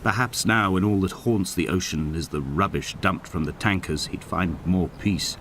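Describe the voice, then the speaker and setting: An actor playing a solitary, melancholy middle-aged man, narrating in a measured, solemn, literary style for a game. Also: low voice, fairly bright tone, slight background noise. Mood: wistful, reflective. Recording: music behind the narration. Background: clear background music.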